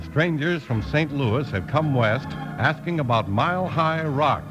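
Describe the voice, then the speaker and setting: A man with an American accent and a husky voice, announcing in scripted, folksy mid-century radio style. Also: unhurried, highs cut off, over music. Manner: animated, folksy sales pitch. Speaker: announcer and narrator.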